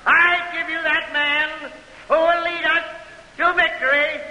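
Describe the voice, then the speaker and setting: An actor playing a rousing 1860s American political crier in an old radio drama, shouting in drawn-out, declamatory style. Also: male voice, very high voice, level tone, exaggerated voice. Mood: rousing, triumphant. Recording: echoey hall, old radio, faint crowd.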